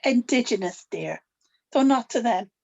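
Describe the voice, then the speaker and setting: A woman playing an older grandmother, gentle but firm and secretive, in a scripted table read. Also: fairly high pitch, animated, unhurried, vocal fry, muffled recording, full character voice. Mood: firm, guarded.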